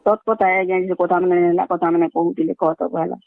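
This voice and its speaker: A woman's voice: fairly low pitch, flat delivery, normal speed, vocal fry, no room sound. Defensive middle-aged woman on phone line. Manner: defensive, insistent, repetitive. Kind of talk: heated phone argument in colloquial speech. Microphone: phone call. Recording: dry, dull phone call recording.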